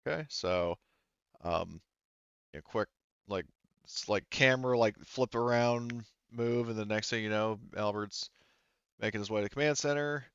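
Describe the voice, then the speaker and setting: A man's voice: fairly low pitch, measured pace, a bit bright, clean tone, no room sound, muffled recording. A man running a tabletop roleplaying game, narrating and explaining in a casual, informal way. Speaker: game master.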